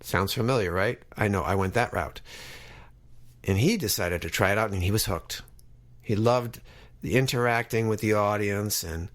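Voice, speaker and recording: A man of fifty hosting a podcast in a casual, conversational style, talking directly to his listeners as he introduces things. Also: on the low side. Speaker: podcast host.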